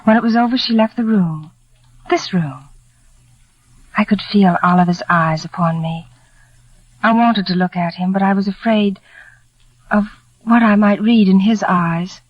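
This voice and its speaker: An actress playing a shy, fearful, devoted young bride, wistful and emotional, in a 1940s radio drama. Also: female voice, mid-range voice, unhurried, pauses often, dry recording, lightly acted. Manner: wistful, hushed, emotional delivery. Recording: dull old 1940s radio recording with music.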